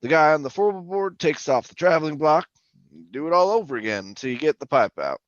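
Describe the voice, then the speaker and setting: A man plays a talkative male oil-rig hand spinning an eerie yarn for a radio horror play. His delivery is colloquial and folksy, with wide swings in pitch. The recording is close and dry but slightly dull. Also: lively intonation, fairly quick, no room sound, close to an everyday voice. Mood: folksy narrating. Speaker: actor and narrator. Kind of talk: old-time radio horror drama acting and first-person narration.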